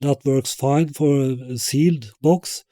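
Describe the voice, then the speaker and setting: A man explains technical design at a very unhurried pace, with hesitant, halting phrasing. His voice is soft-edged and mellow, captured in a dry, close sound. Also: mid-range voice, steady tone, dry recording. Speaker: loudspeaker designer presenting own product. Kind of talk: product presentation with technical explanation.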